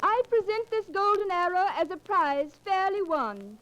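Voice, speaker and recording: A woman's voice: extremely high pitch, sing-song, normal speed, fairly bright tone, dull audio, heavily acted. An actress playing a tender young noblewoman in formal, dramatic old radio style. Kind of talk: 1940s radio acting. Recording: old radio recording with crowd behind.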